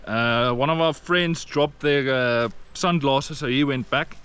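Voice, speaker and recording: A man's voice: mid-range voice, unhurried, a bit bright, clear, resonant tone. A man from South Africa with an Afrikaans accent, narrating a casual hiking vlog outdoors, plain and encouraging, with frequent fillers. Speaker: vlogger. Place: outdoors on a rainforest trail.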